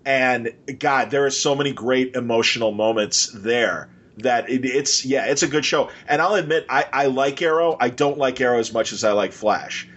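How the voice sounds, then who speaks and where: A man's voice: medium pitch, moderate pace, hardly any pauses, a bit bright, highs cut off. A man hosting a podcast, chatting casually and enthusiastically in an informal, rambling way. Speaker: podcast host.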